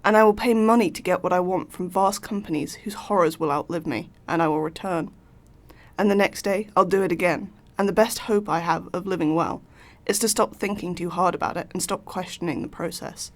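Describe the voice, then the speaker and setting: A woman reading a written quotation aloud in a measured, sombre way. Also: fairly low pitch.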